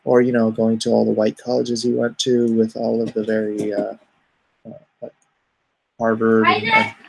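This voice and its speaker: Gravelly middle-aged man live streaming. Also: on the low side, normal speed, slight background noise, rough recording. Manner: calls himself soothing and even-tempered; reads chat comments aloud and answers them. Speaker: live streamer reading chat. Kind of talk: casual live stream chat. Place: home.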